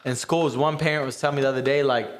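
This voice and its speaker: An American man hosting a casual round-table podcast, talking earnestly and emphatically and often checking in with a quick "right?". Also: mid-range voice, steady tone. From United States. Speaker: podcast host.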